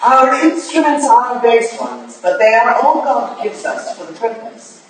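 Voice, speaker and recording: A woman's voice: fairly high pitch, expressive, a little slow. A woman playing a stern, curt, unyielding older Victorian lady detective in a staged play reading. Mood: grave. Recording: echoey hall, distant mic, hissy noise.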